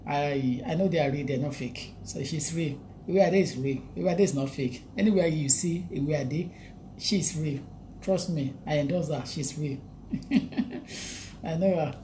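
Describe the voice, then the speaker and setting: Low-voiced Nigerian woman livestreaming. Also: measured pace, dark tone, slight background noise. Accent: Nigerian English. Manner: chatty, repeats phrases, reads out viewer names, laughs often. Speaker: livestream host. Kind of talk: live stream talk, greeting viewers.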